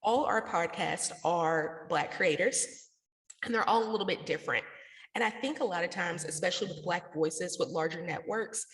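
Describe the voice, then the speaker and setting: A woman's voice: lowish voice, normal speed, a bit bright. Echoey, reverberant woman in an interview. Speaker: interview guest, podcaster and radio station founder. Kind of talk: conversational interview answers. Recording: echoey room with long reverb.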